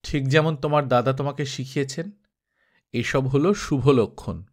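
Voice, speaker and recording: A man voicing a wise old king who teaches calmly in an audiobook. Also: mid-range voice, normal speed, close to an everyday voice. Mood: wise guidance. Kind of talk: audiobook dialogue.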